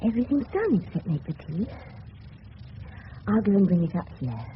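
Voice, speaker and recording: A woman's voice: medium pitch, big pitch swings, normal speed, lightly acted. An actress playing an affectionate, seductive married English woman in a 1970s radio drama, intimate and emotional. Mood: tender, coy. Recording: muffled, noisy old radio broadcast.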